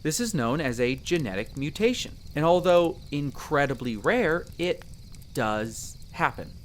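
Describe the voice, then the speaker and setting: A man playing a friendly, earnest young elf explorer in roleplay audio, explaining things chattily with hesitant pauses. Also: a little high, slight background noise. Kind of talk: character roleplay audio addressed to the listener.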